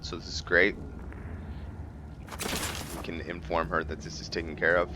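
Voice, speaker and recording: A man giving casual gameplay commentary on a roleplay, thinking aloud and often pausing to find his words. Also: deep voice, level tone, background noise. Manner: musing, thinking aloud with frequent hesitations. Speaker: gaming video host.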